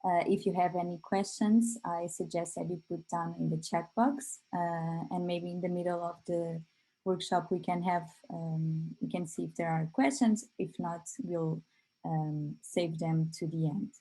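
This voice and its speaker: Woman with a mellow voice. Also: on the low side, steady tone, rough recording. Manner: hesitant, frequent filler pauses. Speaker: company presenter. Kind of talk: online webinar presentation.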